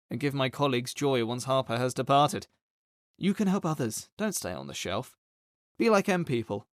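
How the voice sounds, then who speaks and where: An actor playing a troubled author who stands up for himself, speaking earnest, declamatory rhyming lines in an audio drama. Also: male voice, on the high side, no echo, studio quality, close to an everyday voice. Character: troubled male author standing up to his characters.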